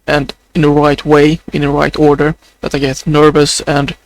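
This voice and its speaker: Young Swedish-accented male vlogger; slow, gravelly, dry close sound, hesitant delivery. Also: fairly high pitch, monotone, dry recording, poor audio. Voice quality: sounds sad or close to crying. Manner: hesitant, many fillers, slow and halting. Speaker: video journal creator. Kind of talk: unscripted video diary.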